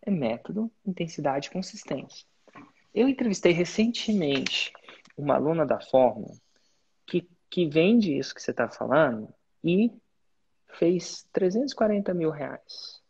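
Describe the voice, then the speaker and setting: A husky-voiced man leads a coaching call in a direct, instructive manner, breaking his advice into clear points. His voice sits at a middle pitch, and the recording sounds dull and a bit lo-fi. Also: big pitch swings, measured pace, darkish tone. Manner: direct, instructive, uses sports-belt metaphors. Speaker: business mentor and host. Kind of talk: live coaching session.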